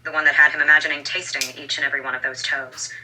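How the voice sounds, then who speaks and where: A woman's voice: low-pitched, level tone, rapid-fire, pushed and bright. A woman audiobook narrator reading scripted fiction, heard as played back through a small speaker. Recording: distant noisy playback through small speaker. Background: clear background noise.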